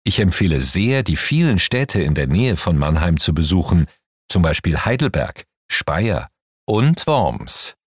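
A middle-aged man from Romania, a Hungarian native speaker and language teacher, slowly and clearly reading a first-person account for a learning audio. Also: deep voice, sing-song, no room sound.

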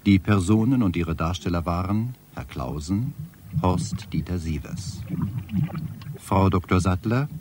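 A man making a formal, matter-of-fact radio announcement in a measured manner. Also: sits low, a little mellow, slight background noise. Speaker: radio announcer reading cast and credits.

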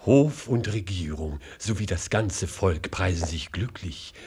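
An actor playing a deferential, anxious government minister in a radio play, speaking formally, courteously and hesitantly. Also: male voice, low-pitched, animated, measured pace, darkish tone, breathy edge, exaggerated voice. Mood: formal, defensive. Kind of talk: radio drama acting.

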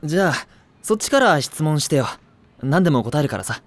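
An actor playing a mild, sensible, exasperated teenage schoolboy in an anime audio drama, dry and casual in manner. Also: male voice, fairly high pitch, sing-song, fairly quick, no echo. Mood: resigned. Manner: level-headed, dry, casual.

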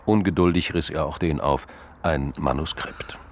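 An actor playing the sober, deliberate narrator of a crime radio play, with a gravelly voice. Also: male voice, slight background noise. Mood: sober, suspenseful. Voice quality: very deep, gravelly. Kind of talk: scripted radio drama narration.

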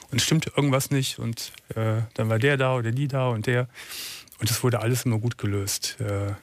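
A middle-aged man hosting a late-night call-in radio show, calm and reassuring, often restarting his sentences. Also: mid-range voice. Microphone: studio microphone.

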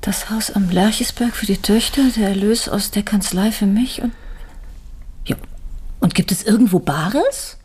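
An actress playing an anxious, hesitant but probing new widow in a German radio crime drama. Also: female voice, mid-range voice, measured pace, darkish tone, slight background noise, close to an everyday voice.

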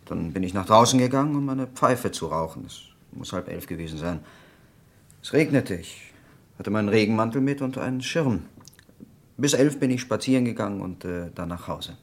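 An actor playing a polite hospital doctor in a German radio crime drama, accounting for himself in a careful, matter-of-fact and slightly hesitant way. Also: male voice, lowish voice, expressive, normal speed. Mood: careful, explaining.

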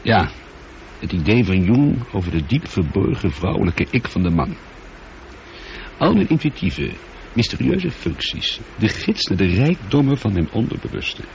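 An actor the playing a lonely, prickly space traveller in a radio play, speaking emphatically and with some exasperation. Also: male voice, lowish voice, big pitch swings, normal speed, gravelly, a little mellow. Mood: prickly, wry. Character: lonely, prickly male space traveller arguing with his computer. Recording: dull noisy old radio play recording.

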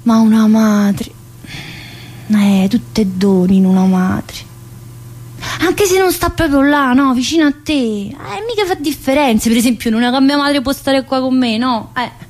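A woman playing a chatty, warm-hearted, middle-aged female prison inmate in a theatrical monologue, meandering and full of little "eh"s. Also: a little high, measured pace. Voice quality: chatty and meandering, studded with "eh" and direct address. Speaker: stage actor in a solo play.

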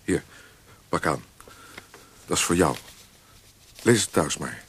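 An actor playing a tender young painter-poet courting his sweetheart in a radio drama, speaking softly and coaxingly. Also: deep voice, lively intonation, takes it slowly, exaggerated voice. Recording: hissy, noisy old radio recording.

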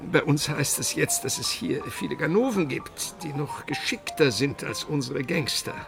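A man's voice: on the high side, expressive, normal speed, creaky. An actor in a radio play voicing a nervous, chatty American businessman abroad, hesitant, fussy and stammering. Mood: anxious, prying. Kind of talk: radio drama dialogue. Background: music.

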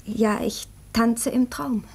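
High-voiced, highly strung young lady. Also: steady tone, slow pace, slightly rough, exaggerated voice. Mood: gushing, wistful. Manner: intense, abrupt, swinging between gushing and sharp. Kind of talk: period radio drama acting.

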